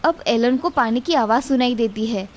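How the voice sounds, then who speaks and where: A woman narrating an animated children's story in a steady, clear storytelling manner. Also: fairly high pitch, moderate pace.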